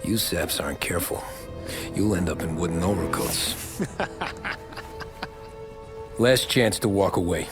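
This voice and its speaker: An actor playing a tough young mob driver who talks in short, dry wisecracks. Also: male voice, low voice, lively intonation, measured pace, pauses often. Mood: menacing. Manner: terse, wisecracking. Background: game score music.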